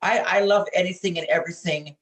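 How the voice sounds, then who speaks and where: A middle-aged or older man from Hawaii telling stories as an interview guest, expressive and given to repeating words for emphasis. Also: high voice, fast talker, poor audio. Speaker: interview guest, performer and producer. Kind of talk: casual interview storytelling.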